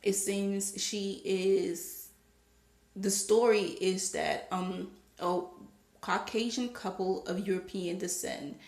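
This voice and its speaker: A woman from Liberia giving her opinion in a casual monologue to camera, becoming more animated as she stresses her points. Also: medium pitch, slow, echoey room. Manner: opinionated, rising to animated emphasis. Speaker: vlogger.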